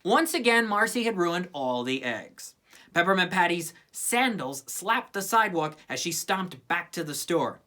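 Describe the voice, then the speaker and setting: A woman narrating a children's storybook in an expressive scripted reading style. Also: lowish voice, a little slow. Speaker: storybook reader.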